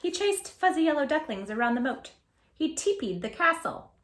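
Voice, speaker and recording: A woman hosting a children's read-aloud, speaking in an animated, emphatic way straight to small children. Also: high-pitched, normal speed. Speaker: children's storytime host and tutor. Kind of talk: children's read-aloud and chat to young viewers.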